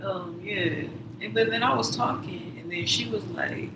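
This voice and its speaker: A man's voice: high voice, a little slow, gravelly. A man telling a story in casual chat, rambling with many fillers and sometimes dropping to a whisper. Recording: roomy, distant mic, noisy background.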